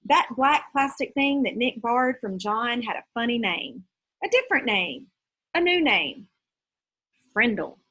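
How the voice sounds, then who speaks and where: A woman reading a children's story aloud in an expressive, teacherly storytelling style. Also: a little high, unhurried, husky.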